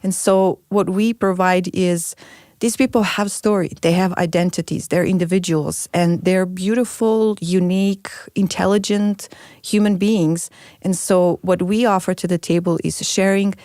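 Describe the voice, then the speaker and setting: A woman's voice: lowish voice, steady tone, measured pace, a little mellow, no room sound, studio quality. Earnest middle-aged woman recalling refugee years. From former Yugoslavia. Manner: earnest, reflective, with fillers. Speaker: former refugee, nonprofit worker. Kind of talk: personal interview account.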